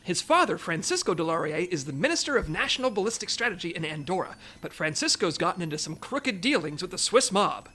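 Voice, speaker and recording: Lively, businesslike spy chief. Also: female voice, lowish voice, fast, hardly any pauses, husky, full character voice. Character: businesslike, patient female intelligence section chief. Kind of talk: comedy audio drama acting, mission briefing.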